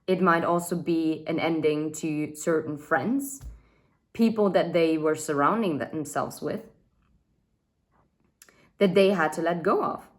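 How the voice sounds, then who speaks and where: A woman's voice: deep voice, unhurried, pauses often. A woman in her thirties giving a casual tarot reading, chatty and thinking aloud with fillers and asides. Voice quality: says she has a loud voice. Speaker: tarot reader.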